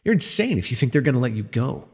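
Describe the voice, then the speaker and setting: Husky, gravelly sardonic supervillain played by a man. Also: medium pitch, big pitch swings, fast talker, darkish tone. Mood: stunned, incredulous. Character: captured telepath supervillain, sardonic, worldly former mentor. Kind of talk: immersive ASMR roleplay voice acting. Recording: long reverb, faint music behind.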